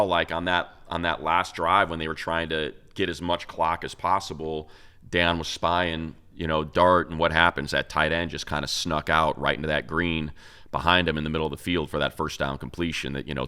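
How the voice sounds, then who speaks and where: A man's voice: extremely low pitch, pushed and bright, clean tone. An American man hosting a sports show, talking through analysis in a casual, emphatic, conversational way.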